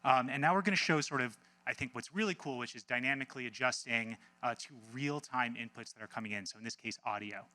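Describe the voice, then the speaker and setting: A man giving a casual live software demo as a team presenter, informal and breezy with wry asides. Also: mid-range voice, quick pace, few pauses.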